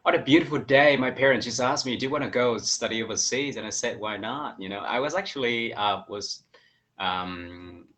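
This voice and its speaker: Bright-voiced man, relaxed. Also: mid-range voice, normal speed. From Vietnam. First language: Vietnamese. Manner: relaxed, chatty, laughs often. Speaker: guest, English teacher. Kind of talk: casual interview chat.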